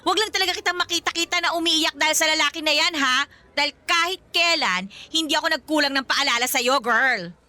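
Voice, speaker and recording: An actress playing a sassy, protective young best friend in a radio romance drama, with an exaggerated, teasing and scolding manner. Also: female voice, high voice, brisk, pushed and bright, no echo.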